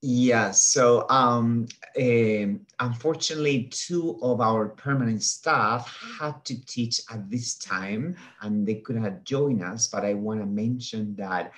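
A man's voice: medium pitch, slow, few pauses, a bit bright. Hesitant man on a video call. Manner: hesitant, many fillers. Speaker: associate professor of linguistics. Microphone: laptop webcam video call.

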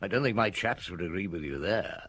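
A British actor playing a wry, patient veteran police detective chief in a radio drama, musing with unhurried authority. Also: male voice, sits low, lively intonation, fairly bright tone, husky, heavily acted. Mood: wry, amused.

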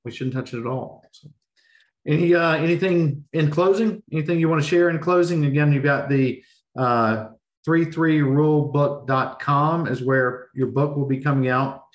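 Man, middle-aged, hoarse podcast host, easygoing and rambling, video-call sound. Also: a little high, moderate pace. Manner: relaxed, rambling, with restarts. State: hoarse, losing his voice. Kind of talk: casual podcast interview. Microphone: video call webcam.

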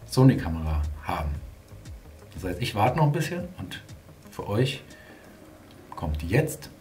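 A man's voice: lowish voice, darkish tone. A man chatting casually to his viewers as a vlogger, rambling and thinking aloud. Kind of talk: casual vlog chat. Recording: clearly audible ambient background noise.

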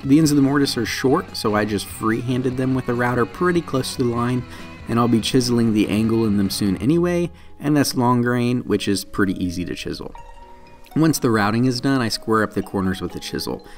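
A man's voice: medium pitch, animated, fairly quick. A man in his thirties, a channel host, narrating a woodworking build in a plain, practical and self-deprecating way. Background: soft guitar music.

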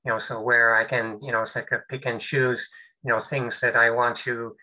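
An older man presents over a video call. His voice sounds very bright and forward, and he talks in halting phrases full of fillers and restarts. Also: medium pitch, flat delivery, rough recording. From United States. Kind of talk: webinar presentation.

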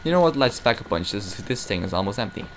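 A man's voice: on the low side, very animated, fast. A young man giving casual, rambling, self-mocking commentary while playing a game. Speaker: gameplay commentator. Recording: noisy game audio behind.

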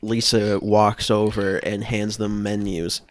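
A young man improvising scene narration for a comedy podcast, halting as he searches for words. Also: fairly low pitch, little pitch movement, dry recording. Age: young adult. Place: small room at home, video call.

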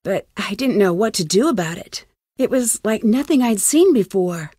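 Earnest young sleuth, dry close sound. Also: female voice, mid-range voice, animated, moderate pace, dark tone, husky, no room sound. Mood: troubled, sincere. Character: earnest, determined young female amateur detective. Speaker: lead character in a detective adventure game. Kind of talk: video game voice acting, conversational dialogue.

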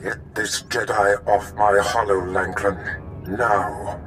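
A cold and imperious Sith master for a video game. His low voice is formal and measured, full of menace toward underlings, over prominent music. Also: steady tone. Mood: stern, threatening.

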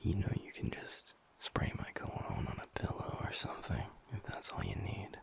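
A man playing a teasing, affectionate werewolf boyfriend in an intimate audio roleplay, with a breathy, gravelly murmur and a playful mock-stern manner. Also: moderate pace, whispered, natural voice. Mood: teasing, tender.

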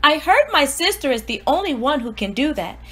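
Woman voicing a high, animated precocious storybook baby. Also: a little fast. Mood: wondering admiration. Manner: earnest, exclamatory, self-important. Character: precocious newborn baby diarist, indignant, eager and dramatic. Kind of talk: expressive storybook read-aloud.

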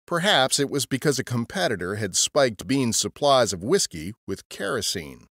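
Lively male storyteller. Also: mid-range voice, moderate pace, no echo, clean studio sound. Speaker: podcast narrator. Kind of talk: scripted storytelling narration.

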